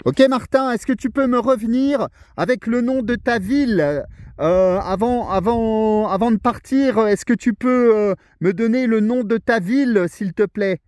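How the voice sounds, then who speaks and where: Male radio hobbyist, French accent, notably high voice, courteous and chatty. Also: unhurried, darkish tone, no echo, studio quality. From France. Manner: friendly, courteous. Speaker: amateur radio operator. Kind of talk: casual radio contact chat. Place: outdoors on a small mountain summit.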